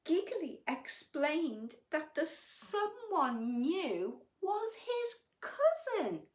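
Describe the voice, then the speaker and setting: Female children's storyteller: very animated, unhurried, gushing and affectionate, exclaiming to little listeners, in a roomy recording. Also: very high-pitched. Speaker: children's bedtime story reader and host. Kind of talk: animated storytelling and chat addressed to young children.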